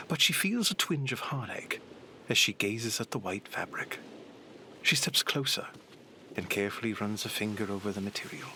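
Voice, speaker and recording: An actor narrating an adventure audio drama in a deliberate, descriptive manner. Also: male voice, mid-range voice, sharp tone, a little hiss or hum.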